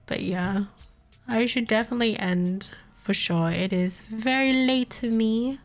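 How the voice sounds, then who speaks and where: A woman's voice: fairly high pitch, unhurried, faint music behind. Chatty streamer woman with a cold. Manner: rambling, laughs often, swears casually. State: recovering from a cold, slightly nasal. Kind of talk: casual late-night stream chat.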